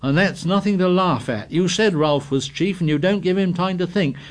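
A man's voice: fairly high pitch, lively intonation, a little slow, pitched above the performer's own voice. A man voicing an indignant, fussy schoolboy who scolds breathlessly in dialect grammar.

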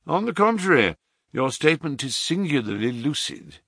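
A man's voice: a little high, very animated, moderate pace, vocal fry, fairly bright tone, breathy edge, no echo, clean studio sound, voice pushed up, exaggerated voice. A man voicing a composed, courteous detective with precise, formal speech, in an audiobook story. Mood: reassuring. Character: composed, courteous male detective listening to a client.

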